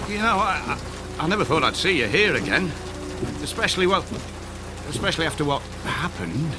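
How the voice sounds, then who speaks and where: An actor playing a concerned, inquisitive small-town acquaintance in a radio drama, speaking in a halting, probing way. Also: male voice, fairly high pitch, very animated, music in the background. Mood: surprised. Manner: halting, tactful but probing.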